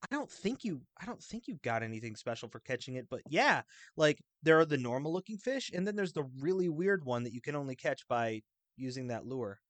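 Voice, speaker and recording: A man's voice: mid-range voice, expressive, brisk, dry recording. A man in a casual chat about a video game, eagerly explaining details at length.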